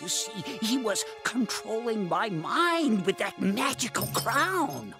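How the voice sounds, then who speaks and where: A man voicing a grandiose, fussy dragon-like inventor in a cartoon game, with pompous, melodramatic, drawn-out delivery. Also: sits high, lively intonation, sharp tone, soft background music, heavily acted. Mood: fussy, defensive.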